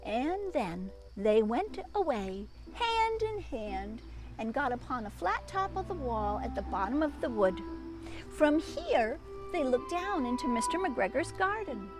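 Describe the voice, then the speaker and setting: A woman's voice: high-pitched, sing-song, unhurried, over music. A woman reading a children's storybook aloud expressively, breaking off for chatty asides to young listeners.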